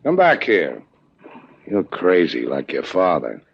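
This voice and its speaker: An actor playing a tough, stubborn boat skipper in a 1950s radio drama, terse and worn out with pain from a beating. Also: male voice, low voice, huge pitch swings, slow, gravelly, a little hiss or hum, exaggerated voice. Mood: gruff, dry. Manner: terse, hard-boiled. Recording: dull vintage 1950s radio recording.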